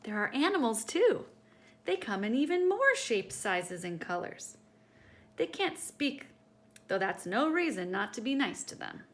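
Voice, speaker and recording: A woman reading a picture book aloud to children in an expressive, teacherly way, speaking directly to her young listeners. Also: fairly high pitch, unhurried.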